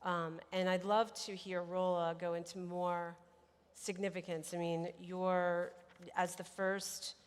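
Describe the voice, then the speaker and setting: A woman moderating a panel, graciously thanking the speakers and posing measured questions. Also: lowish voice. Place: large hotel conference hall. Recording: reverberant conference hall.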